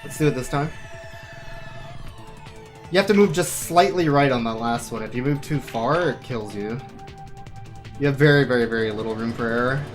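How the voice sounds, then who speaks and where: A man's voice: medium pitch, moderate pace, pauses often, soft background music. A man doing casual, joking, self-deprecating live commentary while playing a speedrun.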